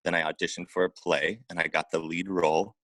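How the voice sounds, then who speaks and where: A man with a low-pitched voice shares personal stories as an interview guest. He talks informally and haltingly, often repeating words and adding "you know." The sound is dry, with very little room around it. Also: little pitch movement, a bit bright, no echo. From Oregon, United States. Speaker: interview guest, acupuncturist and massage therapist. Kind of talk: casual personal storytelling in an interview.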